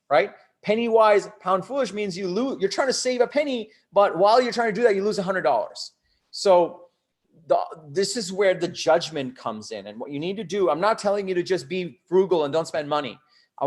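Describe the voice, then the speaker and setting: Guy with a slightly high voice doing live coaching, chatty but emphatic, keeps checking you're following. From Bangladesh until ten, then the US. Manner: conversational, emphatic, often checks in with rhetorical questions. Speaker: host, startup coach. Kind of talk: live online coaching talk to an audience.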